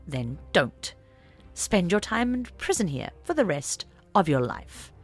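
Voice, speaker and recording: A woman's voice: fairly low pitch, big pitch swings, a little slow, slightly rough, soft background music, heavily acted. A woman voicing a stern, pompous prime minister in an audiobook story, scolding and threatening. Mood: cold menace.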